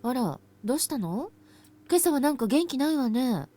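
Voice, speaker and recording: A woman playing a caring, gently worried mother in Japanese visual novel style, with a motherly manner. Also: sits high, sing-song, quick pace. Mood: gentle concern.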